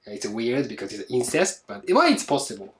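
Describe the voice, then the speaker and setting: A man with a Japanese accent hosting a casual podcast, speaking halting non-native English and backing up his co-host with steady murmurs of agreement. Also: mid-range voice.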